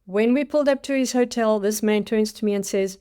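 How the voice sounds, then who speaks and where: A woman's voice: medium pitch, a little fast, dark tone, clear, resonant tone. South African woman in her sixties, storytelling. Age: 60s. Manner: dramatic storytelling with acted-out quotes. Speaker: career coach. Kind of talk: scripted storytelling monologue.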